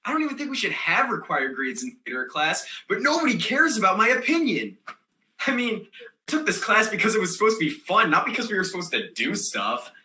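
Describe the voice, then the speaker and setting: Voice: mid-range voice, sing-song, fairly quick, pushed and bright. An actor playing a scatterbrained, defiant theater student telling a comic monologue in slangy, rambling style, given to sudden outbursts. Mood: deadpan, rambling. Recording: echoey room.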